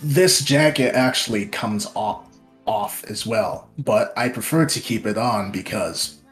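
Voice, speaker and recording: Young man, low-ish voice, from Nigeria, soft-spoken, self-deprecating, interview guest, faint background music. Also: a little slow. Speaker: VTuber guest. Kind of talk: casual interview talk.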